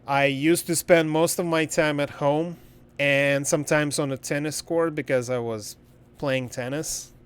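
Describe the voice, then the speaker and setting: Man, game streamer, fairly high clear voice, slow halting pace, casual, blunt, joking. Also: steady tone. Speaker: game livestreamer. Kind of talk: casual livestream chat while gaming.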